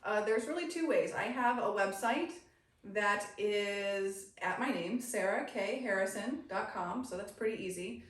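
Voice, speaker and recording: A middle-aged American woman, an animated life coach telling stories casually as an interview guest. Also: mid-range voice, measured pace, soft background music. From grew up in the United States. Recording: very echoey room, distant mic, faint noise.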